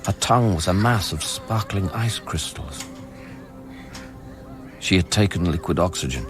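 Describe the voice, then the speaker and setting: A deep-voiced, haunted man. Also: expressive, measured pace. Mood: grave, haunted. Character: Chris, grave, haunted, loving man in anguish. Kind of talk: radio drama acting, first-person narration and dialogue. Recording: music under the voice. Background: music.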